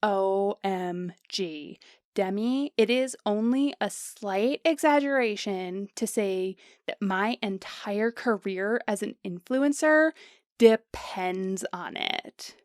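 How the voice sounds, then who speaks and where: A woman's voice: medium pitch, slow, no room sound, studio quality, natural voice. A woman playing a confident, pushy young beauty influencer with a gushing, theatrical manner in a modern comedic audio drama. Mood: gushing, flattering.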